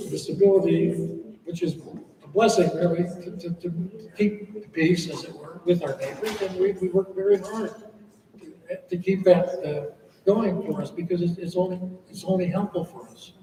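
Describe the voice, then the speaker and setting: Older monk talking in an echoey hall. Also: male voice, sits high, normal speed, recorded from a distance, noisy background. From United States. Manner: chatty, storytelling with humorous asides. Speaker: monk giving a talk. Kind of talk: informal lecture to an audience. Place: church hall.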